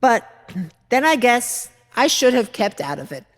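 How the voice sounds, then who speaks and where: A woman's voice: fairly high pitch, sing-song, faint music behind. Lady doing a fussy old bible-times mother-in-law monologue, confiding, takes her time, big echoey hall. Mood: wistful. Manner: confiding, storytelling, deliberate with rhetorical pauses. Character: fretful, opinionated widowed biblical mother-in-law, older woman. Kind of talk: dramatic monologue reading in church. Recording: echoey hall with long reverb.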